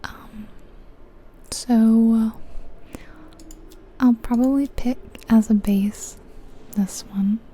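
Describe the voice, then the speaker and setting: A woman whispering breathily and gently close to the mic in an unhurried, chatty ASMR game commentary. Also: fairly high pitch, steady tone, breathy edge. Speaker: ASMR video game streamer.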